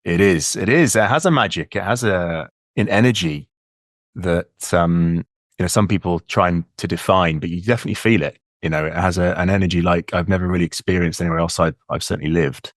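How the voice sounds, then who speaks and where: A man's voice: low-pitched, brisk, dry recording, studio quality. A man of about fifty with an English accent, a guest talking reflectively and repeating words as he gathers his thoughts. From England. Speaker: guest, leadership coach. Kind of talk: casual interview talk.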